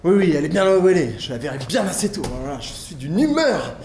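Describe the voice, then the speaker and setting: A blustering, cowardly gentleman lover whose pitch leaps about wildly. He is fussy and exasperated, hammering repeated words in broad stage-farce style. The room echoes and there is faint noise. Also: sing-song, fast. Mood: preoccupied. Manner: exasperated, emphatic, repeats himself.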